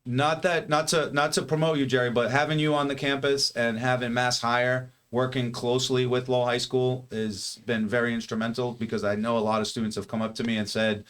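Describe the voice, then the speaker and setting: A man who leads a school talks in an animated, rambling way. He piles up rhetorical questions and restarts his sentences. His voice sits at an ordinary middle pitch. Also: brisk, few pauses, smooth. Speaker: head of school guest. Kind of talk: animated casual talk.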